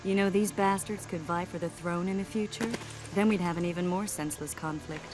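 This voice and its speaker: A woman's voice: lowish voice, steady tone, fairly quick, lightly acted. A woman playing a worldly, sardonic royal adviser in a fantasy video game, cool and articulate. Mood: wry, pensive. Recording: game dialogue under loud music.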